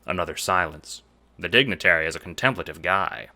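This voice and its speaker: A man's voice: low voice, a little fast, pushed and bright. A man narrating a scripted audio drama in the second person, with dramatic storytelling delivery.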